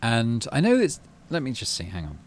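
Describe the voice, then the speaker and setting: A man's voice: medium pitch, huge pitch swings, moderate pace, faint music behind. A middle-aged man giving casual let's play commentary and story narration, thinking aloud as he goes. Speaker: gaming video host.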